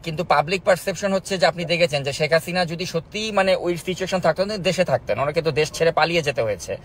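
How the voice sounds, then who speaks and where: A man's voice: a little high, rapid-fire, a bit bright. A man with a Bangladeshi accent interviewing as a journalist, persistent and challenging, on a recorded call. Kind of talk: confrontational political interview. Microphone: recorded phone call. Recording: faint noise on recorded call.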